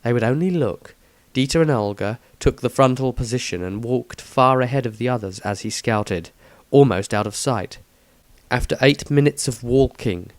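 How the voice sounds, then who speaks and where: A man's voice: mid-range voice, no room sound. A man reading a scripted fantasy story as its narrator. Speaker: author and narrator.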